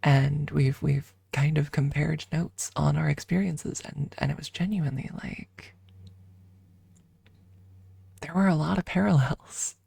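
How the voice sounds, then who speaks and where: A woman speaking softly and often in a whisper, telling a personal story as an ASMR streamer, close to the microphone. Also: sits low, vocal fry, slightly rough. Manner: hushed, often slipping into whisper. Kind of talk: soft-spoken ASMR storytelling.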